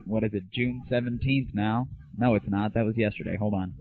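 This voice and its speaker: A man's voice: on the low side, fairly even intonation, fairly quick. An American man hosting a show with a casual, comic monologue style. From United States. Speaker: show host. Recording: dry, phone-like lo-fi audio.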